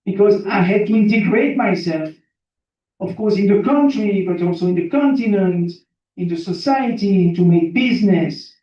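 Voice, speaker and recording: A man's voice: high voice, expressive, moderate pace. A man from Belgium, a native French speaker with a French accent, gives a motivational talk in simple English, repeating phrases for emphasis and putting questions to listeners. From Belgium, born in Burundi. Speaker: seminar guest speaker. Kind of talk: motivational talk to an audience, telling own life story. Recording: very echoey room, distant mic, muffled.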